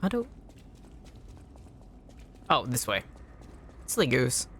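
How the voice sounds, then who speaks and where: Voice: medium pitch, big pitch swings, slow pace, fairly bright tone, a little hiss or hum. A streamer whose first language is Anishinaabemowin, chatting casually with viewers while playing, swearing and yelping at enemies mid-sentence. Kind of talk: casual gaming stream chat.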